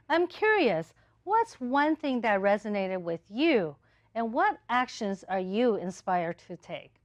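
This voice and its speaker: A woman's voice: fairly high pitch, no room sound. A woman hosting a talk show, encouraging her guests and affirming what they say. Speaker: host.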